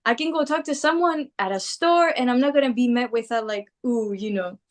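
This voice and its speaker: Lively Greek-born woman chatting. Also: on the high side, brisk. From Greece. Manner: animated, laughs often, quotes people in put-on voices. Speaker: musician guest. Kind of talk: casual interview chat.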